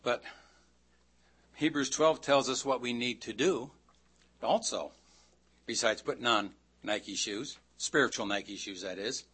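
Preacher, male, mid-pitched, bright and forceful tone, folksy and self-deprecating, slows down for scripture. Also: husky. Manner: reads scripture aloud slowly. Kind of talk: sermon.

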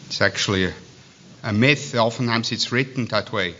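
A man with a Swiss accent preaching a sermon, reading scripture and breaking off into halting, digressive asides full of restarts. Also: on the low side, bright, forceful tone, a little hiss or hum. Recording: dull lo-fi sound.

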